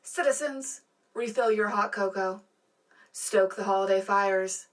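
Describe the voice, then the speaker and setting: A woman acting as a poised news co-anchor on wartime space radio. Her mid-pitched voice delivers scripted bulletins with earnest, polished announcer phrasing. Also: measured pace, close to an everyday voice, poor audio. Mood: poised, rousing. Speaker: radio show co-host. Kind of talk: scripted broadcast news narration.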